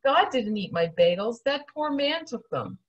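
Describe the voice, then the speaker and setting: Storybook little boy, voiced by a woman: earnest, devout, expressive swings in pitch, slightly breathy. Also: a little slow, highs cut off. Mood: tearful, wondering.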